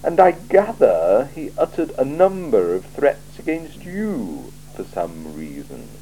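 A cool, sardonic male city ruler, put on by a man reading aloud. He speaks slowly and drily, weighing each word, in a mellow tone that rises and falls widely. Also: medium pitch, wildly swooping pitch, a little hiss or hum, voice pushed up, exaggerated voice, rough recording. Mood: dry. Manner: slow, measured, dry and probing. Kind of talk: audiobook character voice.

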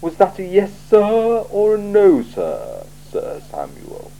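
A cool, sardonic male city ruler, put on by a man reading aloud. He speaks slowly and drily, weighing each word, in a mellow tone that rises and falls widely. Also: high-pitched, wildly swooping pitch, gravelly, highs cut off, pitched above the performer's own voice, exaggerated voice. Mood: dry. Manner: slow, measured, dry and probing. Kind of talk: audiobook character voice.

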